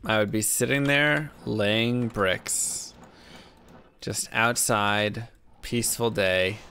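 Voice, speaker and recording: Laid-back man streaming a game, deep gravelly voice, slow and rambling, faint keyboard clicks behind. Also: steady tone, bright, forceful tone, slight background noise. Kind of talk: casual chat while gaming.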